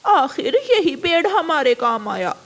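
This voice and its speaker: A woman's voice: high voice, sing-song, unhurried, a bit bright, full character voice. A woman voicing a scheming, nagging female crow in a cartoon, with pointed dramatic delivery. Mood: pleading, relieved. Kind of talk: cartoon voice acting.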